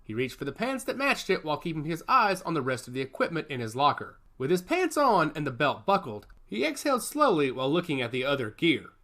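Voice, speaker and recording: A man's voice: on the high side, huge pitch swings, a little fast, few pauses, pushed and bright. A man reading scripted fiction as a narrator in a dramatic storytelling style. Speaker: audiobook narrator.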